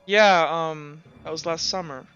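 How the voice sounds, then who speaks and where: A man voicing a candid, tearful teenage noblewoman in a tabletop game, confiding in a halting, hesitant way. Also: fairly high pitch, steady tone, fairly bright tone, lo-fi sound, soft background music. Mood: somber, halting.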